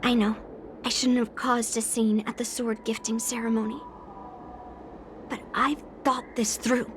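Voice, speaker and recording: A woman's voice: fairly high pitch, flat delivery, a little slow, background noise, natural voice. An actress voicing a fierce, stubborn young swordswoman in a dubbed game cutscene, speaking bluntly and with determination. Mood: determined. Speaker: game character. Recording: game audio over loud music.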